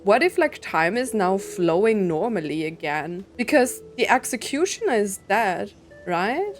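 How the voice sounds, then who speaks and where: Woman gamer thinking out loud, kinda puzzled, takes her time, faint music behind her. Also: mid-range voice, big pitch swings, measured pace. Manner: musing aloud, puzzled, questioning. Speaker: streamer reacting to a story game. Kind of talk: casual game reaction commentary, reading subtitles aloud.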